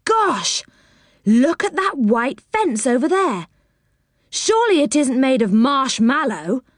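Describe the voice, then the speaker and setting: Woman voicing eager, high-voiced excited storybook children. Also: measured pace, dry recording, studio quality. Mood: amazed, excited. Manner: eager, exclaiming. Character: excited, greedy, wonderstruck children on a storybook adventure.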